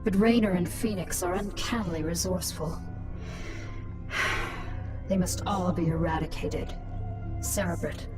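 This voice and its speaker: A woman's voice: fairly low pitch, fairly even intonation, a little slow, long pauses, far from the mic, over music, natural voice. An actress playing a ruthless, scheming alien queen who speaks in a menacing, mocking, commanding way in a video game. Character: ruthless, scheming alien swarm queen, once human, cold and gloating. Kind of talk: dramatic video game voice acting.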